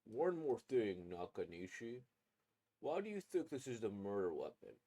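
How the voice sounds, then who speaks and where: Stern adult authority figure for horror manga, man acting it out, slightly low, firm, deliberate, guarded. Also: very animated, mellow. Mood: stern, probing.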